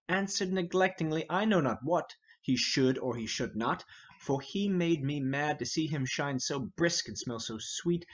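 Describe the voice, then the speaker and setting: A man acts out a hot-headed young noble warrior in a Shakespeare play. He declaims with scorn and impatience, his raised, husky voice swinging widely. The recording is dull and muffled. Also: a little high. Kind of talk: Shakespearean verse drama reading.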